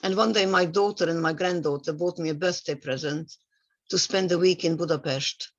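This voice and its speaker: Low-voiced elderly Hungarian woman giving testimony. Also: steady tone, moderate pace. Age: 80s. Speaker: Holocaust survivor giving testimony. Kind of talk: personal spoken testimony. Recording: dull, muffled lo-fi sound.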